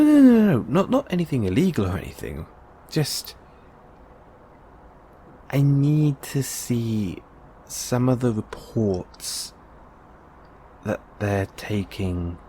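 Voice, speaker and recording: A man's voice: lowish voice, big pitch swings, takes it slowly, long pauses, dark tone, slight background noise. A man playing a curious, reassuring investigative journalist in an intimate audio roleplay, musing and coaxing with hesitant phrasing. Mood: reassuring, persuasive. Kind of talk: intimate one-sided audio roleplay acting.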